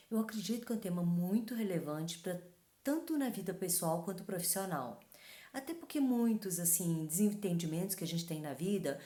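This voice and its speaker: A woman's voice: fairly low pitch, mellow. Woman in a roomy space. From Taiwan. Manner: conversational, frequent tag questions. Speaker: host. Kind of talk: talking-head advice talk. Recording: roomy reverberant room.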